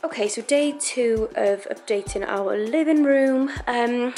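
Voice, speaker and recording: A woman vlogging casually about renovating her living room, chatty and rambling, her voice croaky from dust. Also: a little high, measured pace, a little mellow, faint music behind. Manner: chatty, rambling, laughs often. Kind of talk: casual chatty vlog to camera.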